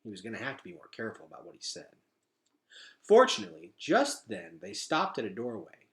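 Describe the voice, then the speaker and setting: Guy reading a kids book aloud, really animated, bright forceful voice, dramatic emphasis. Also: mid-range voice, a little fast, creaky. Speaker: teacher reading a children's novel aloud. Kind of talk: expressive storybook read-aloud.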